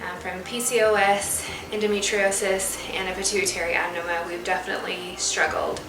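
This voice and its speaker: A woman's voice: mid-range voice, flat delivery, fairly quick. A woman in her thirties telling her infertility story in an earnest, sincere way. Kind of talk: heartfelt personal storytelling. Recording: roomy, distant, noisy.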